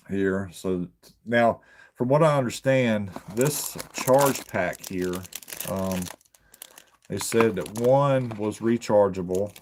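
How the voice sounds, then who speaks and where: A man giving casual unboxing commentary, haltingly thinking aloud as he hosts his video. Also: low voice, a little mellow, a little hiss or hum.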